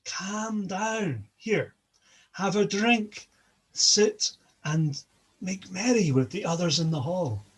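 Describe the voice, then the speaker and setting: A man voicing a gentle goddess who coaxes an angry guest to calm down and drink. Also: high voice, slow pace, husky, voice pushed up, full character voice. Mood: coaxing. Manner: coaxing. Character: gentle young goddess, peacemaker.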